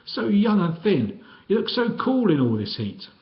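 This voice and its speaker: A man's voice: high-pitched, big pitch swings, moderate pace, lo-fi sound, pitched above the performer's own voice. A man voicing a huge, jolly old female ex-wrestler with a guttural voice. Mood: hearty.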